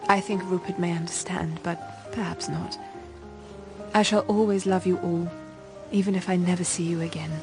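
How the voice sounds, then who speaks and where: An actress playing an enigmatic, resolute young upper-class woman in a radio drama, soft, breathy and quietly detached. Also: on the low side, fairly even intonation, normal speed, over music, natural voice. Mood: regretful, composed. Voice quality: soft, breathy, near-whispered.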